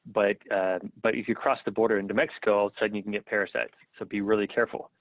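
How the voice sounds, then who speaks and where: A middle-aged American man, a guest on a podcast interview, talking in a relaxed way and often repeating words while he thinks. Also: on the low side, racing, no echo. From United States. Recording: tinny phone-like line.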